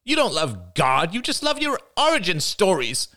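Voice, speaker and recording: A man's voice: fairly high pitch, big pitch swings, normal speed, a bit bright, pitched above the performer's own voice. A man voicing a bitter, defiant young woman with a nasal voice that rises to a shriek.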